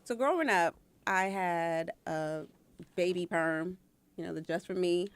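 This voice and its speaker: Podcast guest: thirties woman, low gravelly voice, easygoing talker. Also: unhurried. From New York, Long Island. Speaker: guest, teacher. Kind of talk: casual chat.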